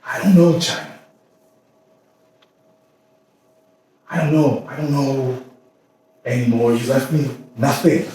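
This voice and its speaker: A man's voice: medium pitch, darkish tone, close to an everyday voice. Anguished, weary lover in an echoing room, acted by a man. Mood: defeated, reproachful. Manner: halting, broken phrases with long pauses and repetitions. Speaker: stage actor. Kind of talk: theatrical staged reading of a dramatic monologue. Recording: roomy echoing stage, distant mic, faint noise.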